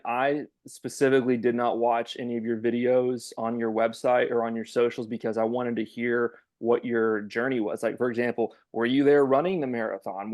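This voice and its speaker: A man's voice: medium pitch, a little mellow. A man co-hosting a faith-based podcast, introducing a guest in a chatty way with lots of fillers. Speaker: podcast co-host.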